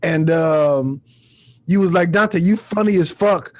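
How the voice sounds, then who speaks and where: A man with a fairly high-pitched voice talks as a podcast host and comic. His delivery is casual and slangy, like easy conversation between fellow comedians. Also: slow pace. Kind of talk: casual chat.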